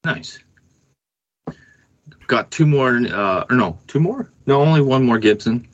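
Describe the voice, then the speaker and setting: A man hosting a podcast in casual chat, informal and a little halting as he works through details. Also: medium pitch, animated, no room sound, highs cut off. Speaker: podcast host.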